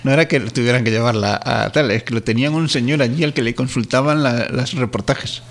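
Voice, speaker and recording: A man's voice: mid-range voice, fairly quick, gravelly, slight background noise. A man with a European Spanish accent talking casually as a podcast co-host. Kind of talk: casual conversation.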